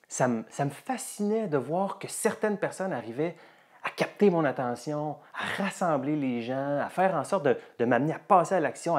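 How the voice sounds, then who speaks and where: Animated man with a Quebec accent. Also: fairly high pitch. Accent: Quebec French. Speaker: communication coach and course presenter. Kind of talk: personal storytelling and course promotion to viewers.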